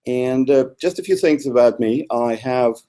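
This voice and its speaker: A middle-aged man from Ukraine with a Ukrainian accent, a guest speaking in a measured way with small slips and restarts. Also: medium pitch, warm tone. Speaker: guest, institute co-founder. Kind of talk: video interview.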